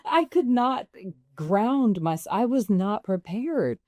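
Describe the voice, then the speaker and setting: A woman with a relatively deep voice, a guest actress telling stories in an animated, laughing way. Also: takes it slowly, dry recording, studio quality. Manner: animated, laughs often, voice jumps up when excited. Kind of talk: casual interview storytelling.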